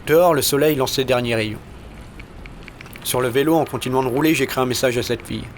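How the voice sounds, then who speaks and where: A man narrates an audio short story in the first person, recorded in a studio. His voice sits at a medium pitch, and he tells it in a deadpan, colloquial way. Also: fairly quick, a little hiss or hum.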